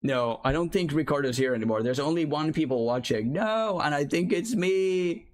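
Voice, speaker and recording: A man's voice: on the high side, lively intonation, normal speed. A man from Finland, chatting as a streamer over live gameplay and reading chat aloud, often apologizing.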